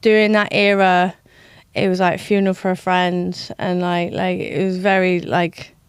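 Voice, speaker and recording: Young woman guest, clear smooth tone, dry close sound, chatty storyteller. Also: lowish voice, level tone, normal speed, dry recording. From London, raised in South Wales. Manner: chatty, filler-heavy storytelling. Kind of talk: casual podcast chat.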